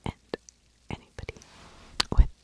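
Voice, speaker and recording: A woman hosting an ASMR video, telling a story in a breathy, unvoiced whisper close to the microphone, lingering on and repeating words. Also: unhurried. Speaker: ASMR video host, true crime narrator.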